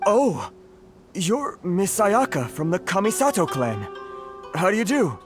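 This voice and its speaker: A man's voice: high-pitched, very animated, unhurried, faint music behind. A man voicing an anxious, put-upon foreign merchant who talks in a flustered, sighing, complaining way.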